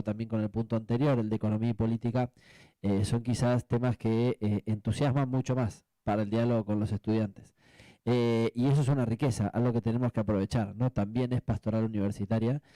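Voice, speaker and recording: A man with an Argentine accent speaking off the cuff as a panelist, reflective and halting, often adding tag questions. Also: on the low side, dark tone, no echo. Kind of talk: spontaneous panel discussion answer.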